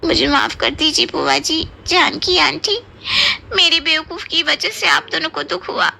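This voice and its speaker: A woman's voice: sits high, a little slow, gravelly, bright, forceful tone, exaggerated voice. A woman voicing a naive, gossipy young woman in a cartoon story, eager and girlish. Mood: sheepish. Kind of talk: Hindi cartoon moral-story voice acting.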